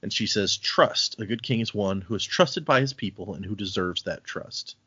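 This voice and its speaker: Male podcast host, husky voice, casual recap of the chapters, dry muffled sound. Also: fairly low pitch, pushed and bright, dry recording. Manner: recaps chapters, acting out lines in quick voices. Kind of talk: casual book discussion.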